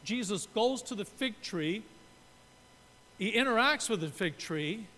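A man's voice: animated, unhurried, sharp tone. A man in his sixties from Canada, preaching a sermon from a pulpit and explaining his points while gesturing. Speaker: pastor. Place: church sanctuary. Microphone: pulpit mic. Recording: reverberant church room, faint rumble.

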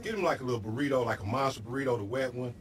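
A man acting a loud-mouthed, goofy American hustler in a video game, boastful and full of slang. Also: mid-range voice, recorded from a distance, natural voice. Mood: amused. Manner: slangy, boastful, fast-talking hustler patter.

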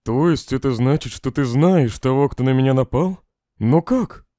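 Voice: medium pitch, normal speed, warm tone, dry recording, studio quality, close to an everyday voice. A performer voicing a troubled, self-doubting male skeleton monster in an emotional comic dub. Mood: curious, casual.

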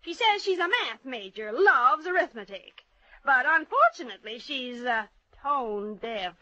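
Vintage radio comedy: vain middle-aged busybody, high and animated, folksy, easily affronted. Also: female voice, measured pace, no room sound, lo-fi sound, exaggerated voice. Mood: gossipy. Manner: folksy, indignant, self-important. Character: gossipy, vain, easily offended small-town woman in her forties. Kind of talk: old-time radio comedy drama acting.

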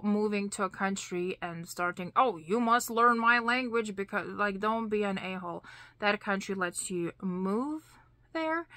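Slow-talking woman with a Lithuanian accent. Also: mid-range voice, pushed and bright, no room sound. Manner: chatty, slow and deliberate, with frequent "you know" and "like". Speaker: vlogger. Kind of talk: casual storytelling to camera. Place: small indoor craft room.

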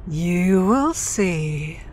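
Very slow, serene seeress, acted by a woman. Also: fairly low pitch, lively intonation, very noisy. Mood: serene, knowing. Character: serene, cryptic female seer, wistful. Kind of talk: audio drama acting.

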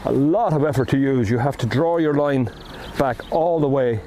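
A man's voice: medium pitch, moderate pace. An older man with an Irish accent, chatting casually about fly fishing while standing outdoors by a river, recorded by a camera on the bank. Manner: relaxed, explaining, with ums and asides. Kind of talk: casual outdoor how-to talk. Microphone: camera on the bank, speaker at a distance. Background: flowing river and wind.

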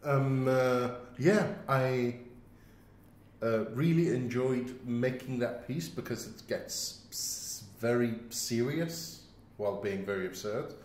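Man, podcast host, casual, halting, unhurried, echoey with faint noise. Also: mid-range voice, darkish tone. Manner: halting, hesitant. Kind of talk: casual chat.

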